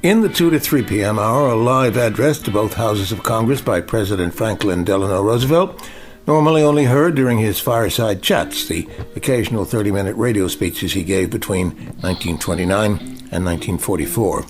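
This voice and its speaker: An older man, a veteran broadcaster, reading a scripted radio feature in a measured, polished way. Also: lowish voice, faint music behind.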